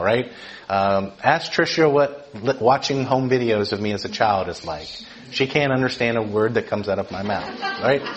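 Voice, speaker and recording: Man preaching with constant "right?" checks. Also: mid-range voice. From Georgia, US. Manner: conversational, keeps asking "right?" to the room. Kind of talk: teaching sermon.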